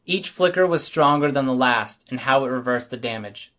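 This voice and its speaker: A man's voice: medium pitch, animated, moderate pace, pushed and bright. A man reading scripted first-person fiction as an audiobook narrator.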